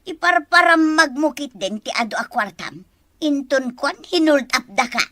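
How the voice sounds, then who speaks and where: A woman's voice: high-pitched, lively intonation, moderate pace, sharp tone, no room sound, clean studio sound. A woman with an Ilocano accent playing an incredulous, chiding villager in a comedy drama.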